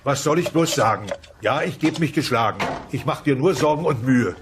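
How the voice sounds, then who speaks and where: A man playing a boorish dinosaur husband in a comedy audio play, brash and quick to make whiny excuses. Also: mid-range voice, background noise, close to an everyday voice. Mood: sheepish coaxing. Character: loud, boorish dinosaur husband and son-in-law. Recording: music behind the dialogue.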